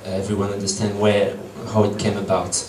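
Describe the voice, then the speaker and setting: A man's voice: on the low side, completely flat delivery, brisk, a little mellow, recorded from a distance, slight background noise. French-accented man talking in an echoey room. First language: French and Portuguese. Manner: hesitant, many ums and restarts. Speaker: campaigner giving a talk. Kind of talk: public talk to an audience. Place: meeting room with audience. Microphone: far room camera.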